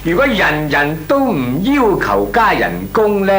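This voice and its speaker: A man's voice: high voice, sing-song, unhurried, a bit bright, slight background noise, full character voice. A male actor playing a nervous but cheeky employee in a comic radio drama, stammering and deferential yet pompous in argument, with a Hong Kong accent. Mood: cheeky insistence.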